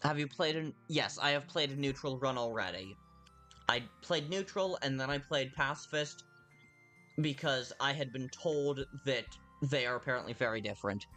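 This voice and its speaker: A man's voice: mid-range voice, moderate pace, pushed and bright, faint music behind. A young man livestreaming a video game, chatting and reacting to viewers' messages, a little tired, with a dry mouth. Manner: chatty, reads chat aloud, mock-exasperated. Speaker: streamer playing a video game. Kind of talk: casual livestream chat.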